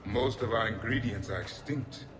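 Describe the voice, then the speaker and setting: An actor playing a proud, blunt, grim ancient male alien warrior in a video game, speaking in terse, deliberate pronouncements. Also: on the low side, close to an everyday voice. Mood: haughty, gloomy. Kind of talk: video game character acting.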